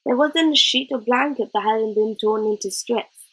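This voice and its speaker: High-voiced, slightly husky woman storyteller. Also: moderate pace, a bit bright, low-quality recording. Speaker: storybook reader. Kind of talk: expressive children's story narration.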